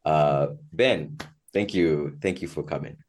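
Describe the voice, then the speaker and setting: A man's voice: very deep voice, takes it slowly. A man hosting a podcast in a conversational interview style. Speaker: podcast host.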